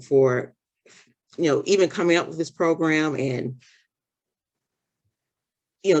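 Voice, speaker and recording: A program scholar giving sincere, grateful closing remarks, halting with fillers. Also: mid-range voice. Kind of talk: thank-you remarks.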